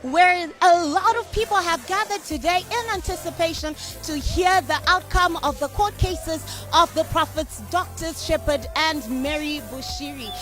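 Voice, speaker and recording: Brief: woman field reporter, very high voice, formal announcer delivery. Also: sharp tone. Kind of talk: live news report.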